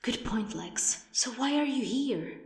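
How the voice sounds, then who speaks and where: A bossy, quick-witted woman agent in science fiction audio drama. She speaks high, brisk and sardonic, in a space with a long echo. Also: animated, creaky, a little mellow, full character voice. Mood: challenging. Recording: echoey hall with long reverb.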